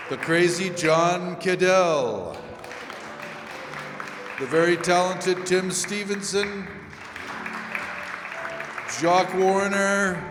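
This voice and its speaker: Male announcer, slow delivery, fairly high pitch, old-time radio style, echoing hall, music and audience behind.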